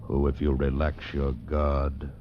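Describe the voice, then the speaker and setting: A man's voice: extremely low pitch, completely flat delivery, slight background noise. A man narrating an ominous, menacing teaser in the style of 1950s radio drama. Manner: slow, menacing. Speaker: radio announcer reading a teaser. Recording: dull old radio, loud music behind.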